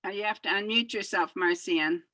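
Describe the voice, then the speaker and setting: A woman's voice: mid-range voice, normal speed, sharp tone, no echo. A woman facilitating an online workshop, calling on participants by name with short upbeat prompts. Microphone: video call.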